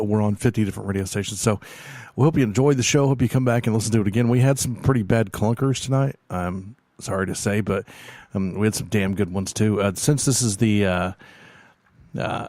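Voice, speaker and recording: A man hosting a late-night radio show, hoarse as he loses his voice, rambling and halting with many fillers, a quiet person by nature. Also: lowish voice, dry recording. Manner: self-described quiet person. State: losing his voice.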